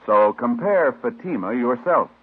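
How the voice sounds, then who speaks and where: A man delivering an old-time radio commercial pitch in an emphatic, instructive manner. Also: expressive, measured pace, husky. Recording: tinny, muffled vintage radio recording.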